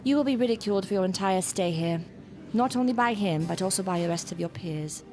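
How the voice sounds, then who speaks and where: A woman's voice: medium pitch, fairly quick, close to an everyday voice. Imperious, eccentric lady doctor, played by a woman. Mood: commanding, intrigued. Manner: theatrical, archaic, knowing. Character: eccentric, imperious female gothic doctor, secretive researcher. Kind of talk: gothic audio drama acting. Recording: music under the voice.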